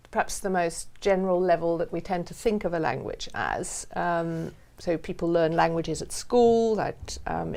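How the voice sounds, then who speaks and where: Middle-aged woman academic on a panel, kinda low voice, lots of ums while she thinks. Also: creaky, darkish tone. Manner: thoughtful, frequent um pauses and restarts. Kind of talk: explanatory panel discussion. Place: studio with balloons.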